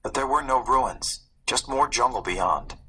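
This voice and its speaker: A man reading a story aloud as a narrator, in a suspenseful, expressive way. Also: mid-range voice, measured pace, breathy edge, low-quality recording. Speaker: audiobook narrator. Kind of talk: dramatic scripted storybook narration.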